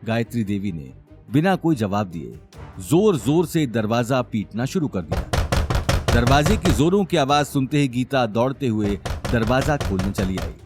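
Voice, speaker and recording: A man tells a scripted story as a dramatic narrator. His medium-pitched voice moves with animated intonation. Faint music runs underneath.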